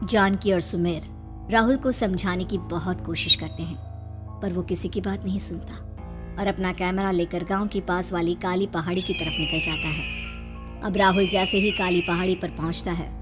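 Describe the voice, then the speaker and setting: A woman's voice: on the low side, fairly even intonation, moderate pace, soft background music. A woman giving scripted narration of a horror story as its narrator.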